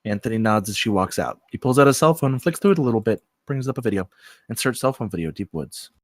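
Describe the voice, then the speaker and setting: A man's voice: fairly low pitch, lively intonation, fast, no room sound, studio quality. A man reading screenplay stage directions aloud as scripted narration.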